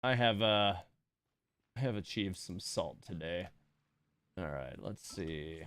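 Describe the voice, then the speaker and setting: A man's voice: low-pitched, animated, takes it slowly, fairly bright tone, smooth. A man giving casual, rambling live stream commentary on a game, thinking aloud as he plays.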